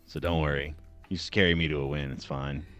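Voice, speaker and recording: Young guy with a super deep radio voice, laid back stream chat, little music under it. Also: brisk. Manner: relaxed, narrates his work as he goes. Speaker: streamer designing a keyboard. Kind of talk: casual live-stream chat. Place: small room at home. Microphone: headset or desk mic at a computer.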